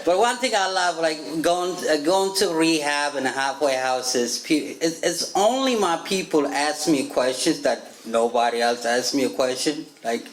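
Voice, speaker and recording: A man in his thirties from Ethiopia with an Ethiopian accent, telling his recovery story as a guest speaker at a meeting, joking and laughing often with halting restarts. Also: on the high side, rough recording. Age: 30s. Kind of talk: personal recovery story, casual chat. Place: church meeting room.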